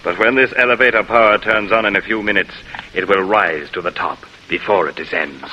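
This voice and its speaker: A man's voice: fairly low pitch, brisk, muffled recording, natural voice. An actor playing a greedy, mock-courteous male killer with silky menace, in 1940s radio drama style. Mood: polite menace. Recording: hissy vintage radio recording.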